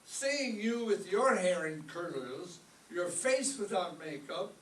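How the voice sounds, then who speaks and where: A man playing a wry, hesitant maestro who trades droll insults in an old-time radio comedy, with a halting delivery. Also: high-pitched, lively intonation, fairly bright tone, full character voice. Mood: nervous, halting. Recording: echoey stage, distant mic, faint noise.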